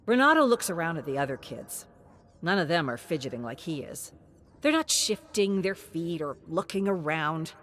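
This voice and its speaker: A woman reading scripted story narration in an expressive, dramatic storytelling manner. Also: lowish voice, slight background noise.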